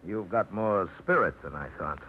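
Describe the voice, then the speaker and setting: A brash wisecracking trucker. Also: male voice, low voice, lively intonation, normal speed. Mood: cocky. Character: brash cocky male trucking boss. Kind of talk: 1950s radio drama acting. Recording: dull old radio broadcast, faint hiss.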